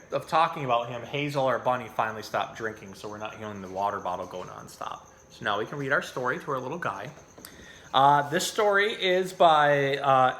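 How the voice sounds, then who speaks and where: Guy with a bright clear-cut voice doing a casual animal storytime, explains stuff like a zookeeper, mid pitch. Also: a little hiss or hum. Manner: informal, explanatory. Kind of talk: casual educational talk and storybook reading.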